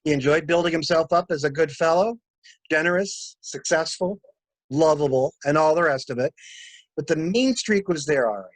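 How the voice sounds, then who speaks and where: A man's voice: on the high side, normal speed, no room sound, natural voice, low-quality recording. A man playing a shrewd, blunt stranger who questions people like a detective in a dramatic mystery reading. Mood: blunt, assertive. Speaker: amateur reader, library staff.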